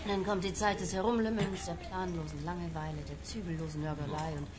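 A woman's voice: lowish voice, steady tone, fairly quick, lightly acted. An actress playing an affected, pretentious middle-aged mother and hostess in a radio play, effusive and socially eager. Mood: nagging, complaining.

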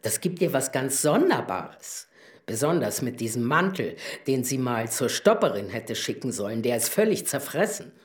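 Blunt maid, roomy sound. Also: female voice, a bass voice, sing-song, normal speed. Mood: mocking. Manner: blunt, mocking, colloquial. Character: blunt, gossipy female household servant. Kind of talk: German radio play acting.

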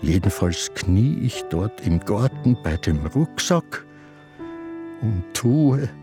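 An actor with a Viennese accent playing an elderly man in a sanatorium who slowly broods over his memories, halting and confessional. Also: lowish voice, very animated, music in the background. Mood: brooding memory. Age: older. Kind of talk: radio play acting with inner monologue.

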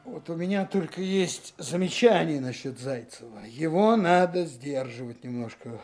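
Kindly, slightly high-voiced policeman. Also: animated, a little mellow, faint music behind. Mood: advocating. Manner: measured, hesitant, considerate. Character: fair-minded, kindly male police colleague defending a novice. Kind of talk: radio drama acting.

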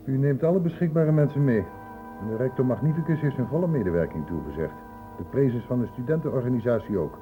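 A man playing a shrewd senior doctor in a Dutch radio play, brisk and probing, with a condescendingly paternal authority. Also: mid-range voice, expressive, music in the background, close to an everyday voice. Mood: brisk, businesslike. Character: shrewd senior male doctor questioning a student, brisk, fatherly. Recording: thin phone-like old radio recording, hissy.

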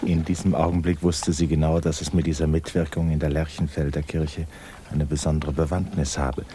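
A man narrating a literary 1950s radio drama in a measured, hushed storytelling manner. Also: very deep voice, flat delivery, slight background noise. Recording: 1950s radio recording, faint music.

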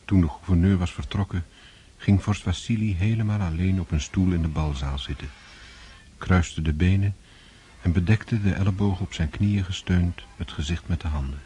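A man's voice: low voice, normal speed, mellow. A man narrating a radio drama in a scripted, literary storytelling style.

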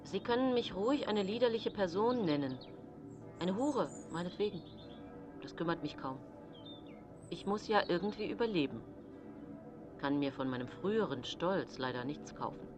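Proud, low-voiced destitute woman played by an actress. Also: lively intonation, moderate pace, long pauses, music in the background, natural voice. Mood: defiant. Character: proud, destitute woman of early 19th century. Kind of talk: German radio play acting.

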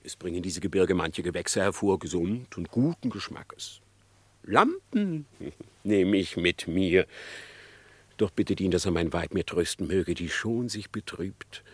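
A sly, swooping-voiced fox. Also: male voice, sits low, animated, unhurried, breathy edge, no room sound, heavily acted. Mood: flattering, feigned warmth. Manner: unctuous, theatrical, savouring each phrase. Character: sly, smug, murderous male fox with flattering tongue. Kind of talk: classic German radio drama acting.

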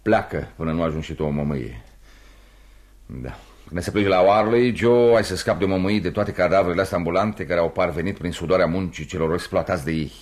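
A male actor plays an ambitious young war veteran in a radio play. His voice is very low and warm, and he talks in a wry, reflective way, like a provincial clerk eager to get on. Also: natural voice. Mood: bitter, reflective. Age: young adult. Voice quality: warm, deep. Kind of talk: Romanian radio theatre acting.

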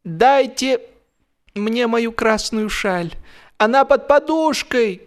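Man putting on a very high, scatterbrained young lady. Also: animated, full character voice. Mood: chirpy, naive. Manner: drawn-out, naive, airy exclamations. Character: scatterbrained, chirpy young lady towing a boat.

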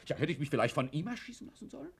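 An actor playing a nervous, blustering, middle-aged factory owner and former lieutenant with a guilty past in a German radio play. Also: male voice, a little high, big pitch swings, rapid-fire, creaky, slightly rough, exaggerated voice. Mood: defensive, brooding.

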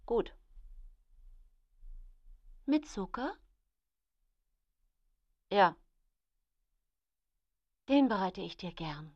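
An actress playing a woman in a sombre period family radio drama, speaking deliberately. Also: medium pitch, very animated, a little mellow, no echo, dull audio, heavily acted. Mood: polite. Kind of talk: radio play dialogue.

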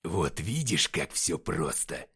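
A man voicing a proud, taunting half-angel warrior in an audiobook, with slow, emphatic delivery. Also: low voice, sing-song, vocal fry, sharp tone, husky, pitched below the performer's own voice, heavily acted. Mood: calm, smug. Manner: emphatic, slow, drawn-out declarations.

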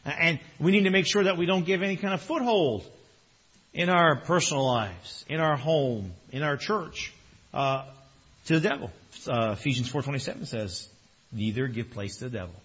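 A male pastor preaching a sermon in a conversational way, his voice a little strained, swinging between quiet and loud. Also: medium pitch, normal speed, breathy edge, muffled recording. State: slightly strained voice.

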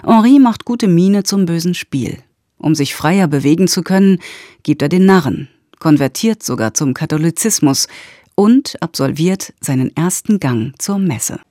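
A woman's voice: lowish voice, animated, measured pace, dark tone, no room sound, clean studio sound. A woman announcer reading scripted narration.

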